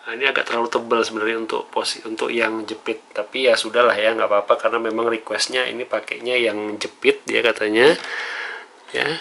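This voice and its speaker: Bright-voiced Indonesian man, casual tutorial. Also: on the low side, gravelly, a little hiss or hum. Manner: conversational, often tags phrases with "ya". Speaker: vlogger giving a how-to tutorial. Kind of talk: casual hands-on tutorial talk.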